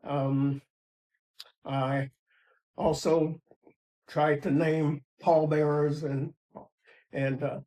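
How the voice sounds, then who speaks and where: A man in his seventies speaks in a documentary interview, slowly and haltingly, with frequent fillers. His voice sits a bit high for a man yet sounds mellow and dark. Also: little pitch movement, rough recording. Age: 70s. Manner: slow, halting, with many fillers. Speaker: retired doctor interviewee.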